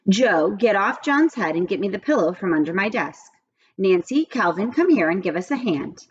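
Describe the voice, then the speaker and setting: A woman reads as a brisk female schoolteacher in a storybook, firm and insistent but encouraging. Her pitch moves around a lot, and the sound is a little dull. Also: very animated, slight background noise, lightly acted. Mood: organizing. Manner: insistent, no-nonsense.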